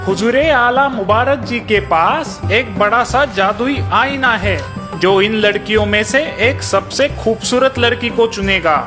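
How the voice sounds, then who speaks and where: Town crier, female actor, fairy-tale cartoon: formal proclamation delivery, highish pitch, music underneath. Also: natural voice.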